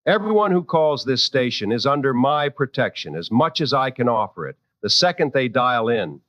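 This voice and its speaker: An actor playing a seasoned, protective late-night radio host in a drama, with a firm, wry and deliberate delivery. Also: male voice, mid-range voice, very animated, fairly bright tone, no room sound, lo-fi sound. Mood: indignant, protective.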